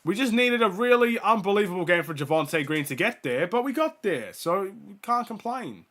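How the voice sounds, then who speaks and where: A young man hosts a fan watchalong, reading out player stats and calling the action. His voice is bright and sits a little high. He is sick and his voice is starting to give out, and he gets louder on the big plays. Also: big pitch swings, normal speed. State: sick, voice going. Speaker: fan host of a watchalong stream. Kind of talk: casual play-by-play and stats commentary.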